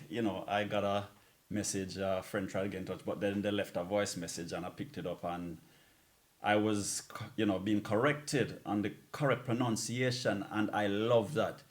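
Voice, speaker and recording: A man hosting a music show, chatting casually and informally as a DJ between tracks, with bits of Caribbean-style slang. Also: fairly low pitch.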